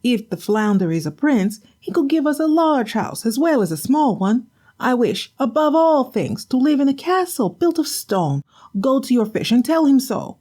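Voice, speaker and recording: Storybook role: a woman voicing a grasping fisherman's wife, scolding and shrill, pitched well above the narration. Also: big pitch swings, moderate pace, warm tone. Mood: demanding.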